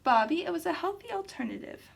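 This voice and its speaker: A woman plays a puppet mother with a high voice and a marked creak in it. She is patient yet firm, admonishing and instructing in the manner of a children's puppet show. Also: animated, fast talker, heavily acted. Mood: gently scolding.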